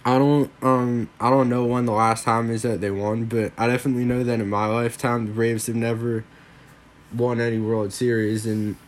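Rambling young man, flat voice. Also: medium pitch, moderate pace. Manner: rambling, lots of "like" and "um", restarts. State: getting over a cold. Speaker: sports podcast host. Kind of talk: casual solo podcast chat.

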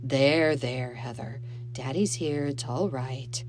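A woman's voice: low voice, slow, a little hiss or hum. A woman voicing a stern, protective father in an audiobook story. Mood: soothing. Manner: forceful with others, soothing with his child. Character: stern, protective father soothing his daughter.

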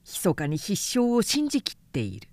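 A woman narrator reading a literary work aloud as an expressive storyteller. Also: mid-range voice, normal speed, dry recording. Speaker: narrator, reader.

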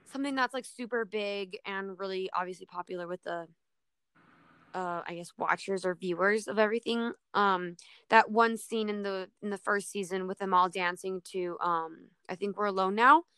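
A woman with a very bright, crisp voice talks casually as a podcast panelist. She sounds informal and enthusiastic, at a middle pitch, recorded close and dry. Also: normal speed, no echo. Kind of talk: casual chat about a TV show.

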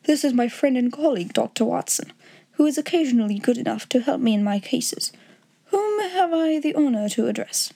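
A woman's voice: sits high, animated, fairly quick, gravelly, warm tone, breathy edge, exaggerated voice. A woman voicing a languid, incisive male detective in an audiobook story, with a dry, clipped, self-assured manner. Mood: courteous, firm.

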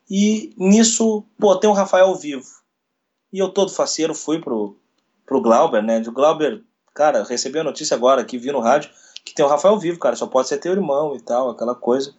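A radio sports journalist tells his story in an interview. His voice sits a bit high and swings widely as he hurries through the events, acting out what people said. The recording sounds dull and lo-fi. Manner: fast, lively retelling with quoted dialogue.

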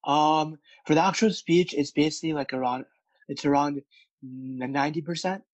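A man's voice: fairly high pitch, fairly even intonation, normal speed, no room sound. A man who stutters, with repeated words and many fillers, speaking candidly as a guest about his work as a speech coach. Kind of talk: candid interview conversation.

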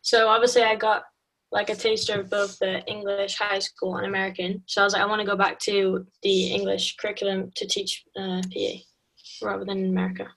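A woman's voice: mid-range voice, completely flat delivery, a little fast, gravelly, fairly bright tone, rough recording. A young adult woman student chatting casually, dropping "like" into her sentences. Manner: informal, uses "like" often. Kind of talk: casual chat.

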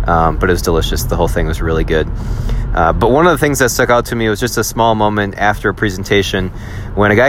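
Man, Wisconsin accent, lowish voice, easygoing rambling storyteller, faint traffic behind. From Wisconsin, USA. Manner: relaxed, rambling, self-deprecating. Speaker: teacher. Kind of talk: casual personal storytelling.

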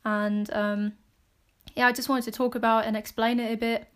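A woman with a British accent hosting a casual solo podcast, chatting in a rambling way with many fillers and soft laughs. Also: fairly high pitch, level tone, normal speed, clear, resonant tone. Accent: British English. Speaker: podcast host.

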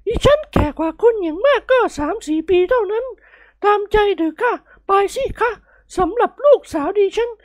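Very high-pitched gushing plump matron. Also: female voice, lively intonation, normal speed, darkish tone, dry recording, studio quality. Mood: coy, amused. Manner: polite, effusive, coy feminine speech. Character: plump, gushing middle-aged society lady, flirtatious and insistent.

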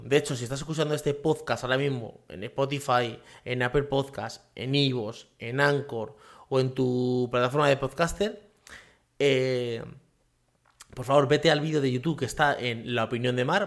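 A man's voice: mid-range voice, normal speed, a bit bright. A man hosting a casual solo podcast from his home office, rambling with many fillers and tag questions. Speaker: podcast host.

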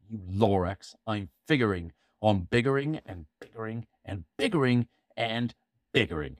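A man's voice: mid-range voice, no room sound, clean studio sound, close to an everyday voice. A man voicing a greedy, stubborn male factory owner who blusters back defiantly.

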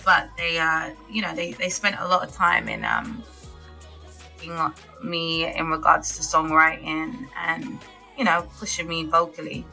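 A woman's voice: low-pitched, little pitch movement, unhurried, gravelly, sharp tone, soft background music, rough recording. A young woman, a singer chatting casually as an interview guest, with lots of fillers and laughs. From England. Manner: chatty, laughs often, many fillers and restarts.

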